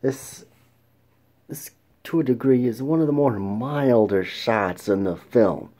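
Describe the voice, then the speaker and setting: Guy doing a film review show, slow and mellow, keeps restarting and clearing his throat. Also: medium pitch. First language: English. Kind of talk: casual film review monologue.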